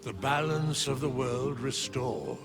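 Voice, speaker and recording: Game sage: undead, all-knowing, grave, creaky voice with expressive swings, music behind. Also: male voice, medium pitch, full character voice. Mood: solemn. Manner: grave, archaic, measured pronouncements. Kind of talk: video game character acting.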